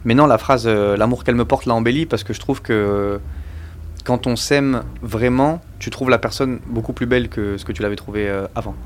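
A man's voice: mid-range voice, normal speed, slight background noise. A young man being interviewed about his music, talking casually in slang with many fillers and restarts. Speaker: musician guest.

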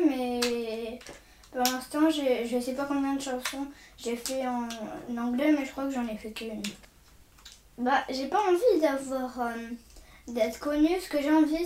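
A woman's voice: high-pitched, measured pace, darkish tone. A teenage girl answering interview questions hesitantly. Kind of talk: casual spoken testimony. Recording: echoey room, faint noise.

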